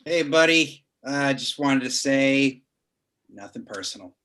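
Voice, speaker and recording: A man's voice: mid-range voice, animated, a little slow, pushed and bright. A man playing an aloof, self-important actor in a comedy table read. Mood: dismissive.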